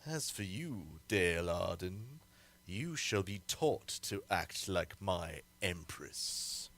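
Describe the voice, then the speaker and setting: A man's voice: deep voice, animated, takes it slowly, a bit bright, exaggerated voice. A man playing a cruel, imperious emperor in an old-time radio serial, theatrical and menacing. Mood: cold menace. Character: tyrant emperor, cruel, imperious, grandiose, easily enraged.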